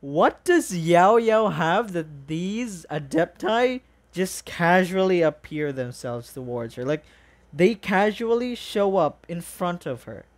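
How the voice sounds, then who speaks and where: A reaction video host chatting casually and excitedly in a rambling, exclaiming, informal way. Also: mid-range voice, slow.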